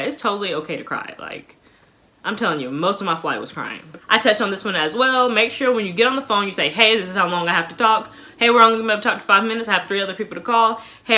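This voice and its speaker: Bright-voiced young American woman chatting casually. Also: medium pitch. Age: 20s. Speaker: vlogger giving basic training tips. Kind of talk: casual advice chat to viewers.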